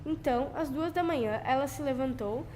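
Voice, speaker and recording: A woman's voice: high voice, moderate pace, a little mellow. A young teenage girl reading scripted narration for an amateur radio drama. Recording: noisy amateur school recording.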